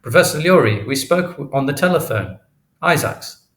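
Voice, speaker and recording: In a roomy recording, a man acts out a humble working father from a novel. He is indignant and earnest, pitched up and stressing his words heavily. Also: expressive, a bit bright. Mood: stiff, formal. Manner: halting, heavily stressed, morally outraged. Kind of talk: audiobook character voice.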